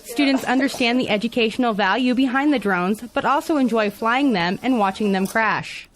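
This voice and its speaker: A woman with an American accent reads a local news report. Her voice sits on the high side, and she delivers prepared copy as a field reporter. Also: moderate pace, a little hiss or hum. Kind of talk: scripted news report narration.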